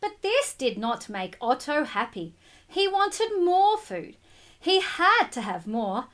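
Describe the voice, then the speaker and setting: A woman reading a picture book aloud to children in an expressive way, with simple explanatory asides addressed to them. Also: high voice, slow pace. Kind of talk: animated storybook read-aloud with asides to children.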